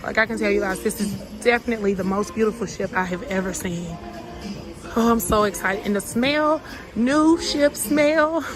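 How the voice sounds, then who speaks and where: A young woman with a hoarse voice, chatting excitedly to her viewers in a casual travel vlog. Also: medium pitch, normal speed, music in the background, rough recording. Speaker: travel vlogger.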